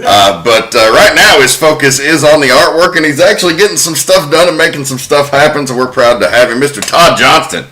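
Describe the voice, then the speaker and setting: Male podcast host, bright crisp tone, chatty, casually profane. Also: a little high, brisk, hardly any pauses.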